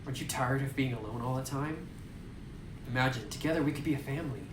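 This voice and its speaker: A man's voice: medium pitch, quick pace, close to an everyday voice. A man reading as a lonely secretive doll maker. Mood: pleading. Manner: reads game dialogue aloud plainly. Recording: distant roomy phone recording with noise.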